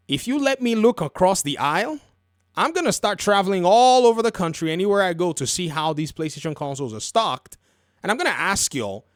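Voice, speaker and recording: A man with a high voice that swings widely in pitch, recorded dry and close. He talks like a commentary host, casual and opinionated, leaning on repeated phrases to drive points home. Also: no room sound. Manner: emphatic, repeats phrases for effect, colloquial. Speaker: video game commentary host.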